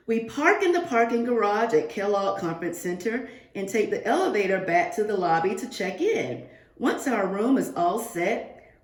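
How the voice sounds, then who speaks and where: A woman reading a picture book aloud to young pupils, expressively, with asides and questions addressed to the children. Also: medium pitch. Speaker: guest reader for a second-grade class. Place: indoors, reading remotely to a class. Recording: roomy, echoing sound of a virtual class reading.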